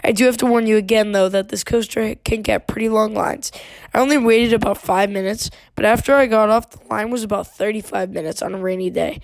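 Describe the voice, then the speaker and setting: A woman's voice: medium pitch, fairly even intonation, a little fast, gravelly. A woman with a congested, sick voice, talking casually in a voice-over review with plenty of fillers and restarts. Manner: loose and chatty, many fillers and restarts. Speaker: theme park video creator.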